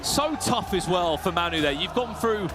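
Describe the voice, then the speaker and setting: A man casting an esports penalty shootout, excitable and shouting, his voice going hoarse. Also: high-pitched, normal speed, music in the background. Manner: excitable, shouting at big moments. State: losing his voice. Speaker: esports caster. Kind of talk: play-by-play commentary.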